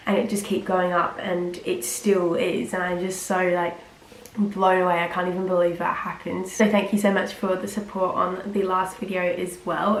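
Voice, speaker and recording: A teenage girl, slightly croaky from a cold, rambling casually to camera with lots of fillers. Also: on the low side. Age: teens. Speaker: vlogger. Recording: roomy with faint ambient noise.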